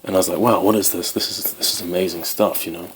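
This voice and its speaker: A man's voice: low voice, fairly even intonation, fast, a bit bright, a little hiss or hum. A man in his twenties, born in Wales, chatting casually to camera as host of his guitar channel, rambling with many ums. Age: 20s.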